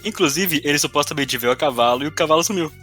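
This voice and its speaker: Casting: Brazilian man, rapid delivery, sharp forceful tone, dry teasing co-host over soft music. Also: on the high side. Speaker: podcast member and player. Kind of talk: casual podcast chat, teasing interjections.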